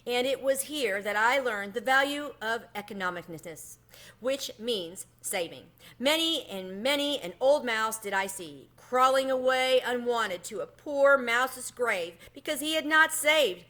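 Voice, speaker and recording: A woman's voice: fairly high pitch, animated, unhurried, pushed and bright, voice pushed up. A woman voicing a melodramatic, self-pitying, miserly little male mouse for a children's storybook, pleading and theatrical with quaint phrasing. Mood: choked-up, mournful. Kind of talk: children's storybook read-aloud.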